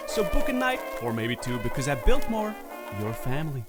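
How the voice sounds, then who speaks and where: An actor playing a bouncy old-time announcer reading a vintage sponsor advert. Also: male voice, mid-range voice, big pitch swings, moderate pace, lightly acted. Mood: upbeat. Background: music.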